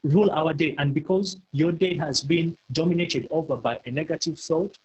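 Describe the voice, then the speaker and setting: A man's voice: on the high side, normal speed, a little mellow, breathy edge, low-quality recording. A man giving a motivational talk, earnest and emphatic, who stammers and repeats words now and then. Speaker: motivational speaker.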